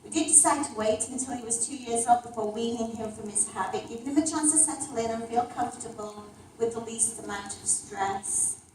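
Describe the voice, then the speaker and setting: A woman pitches her product to an audience in a fairly high voice, moving briskly with the odd um. The sound is distant and very echoey, carrying a faint hum of the room. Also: a little mellow. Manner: brisk, with fillers. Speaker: startup founder presenting. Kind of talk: business pitch. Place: community event hall.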